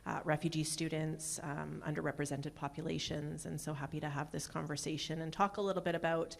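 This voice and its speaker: A woman introducing herself as a university enrollment director, steady and businesslike. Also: low voice, fairly quick, vocal fry. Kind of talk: panel self-introduction.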